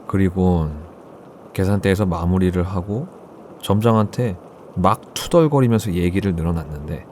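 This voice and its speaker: A man narrating a first-person horror story in a casual, conversational storytelling way, with deliberate phrasing. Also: sits low, a little mellow, a little hiss or hum. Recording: background music under the voice. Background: music.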